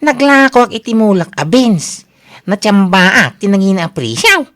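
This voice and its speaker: A woman's voice: mid-range voice, big pitch swings, normal speed, fairly bright tone. A woman playing an eager, easygoing young bachelor in love in a radio drama, with an Ilocano accent. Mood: helpful, keen.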